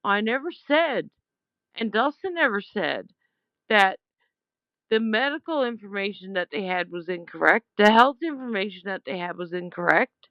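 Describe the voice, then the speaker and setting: A woman's voice: medium pitch, expressive, normal speed, no echo. A woman leaving an informal complaint voicemail over the phone, speaking in a blunt, aggrieved and emphatic way, with dull lo-fi sound. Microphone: phone call.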